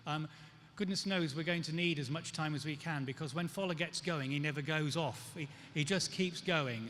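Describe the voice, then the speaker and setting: Man, fairly high voice, joking speaker, echoey hall, faint background noise. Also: fairly bright tone. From England, raised in Nigeria. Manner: chatty, joking and self-deprecating. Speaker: guest speaker, PR executive. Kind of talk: conference speech. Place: large event hall. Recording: long hall reverb, faint room noise.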